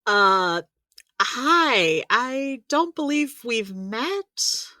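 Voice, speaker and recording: Actress as bright-voiced, wry female healer. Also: a little high, expressive, drawn-out words, no room sound, studio quality, heavily acted. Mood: puzzled. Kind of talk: scripted audio drama dialogue.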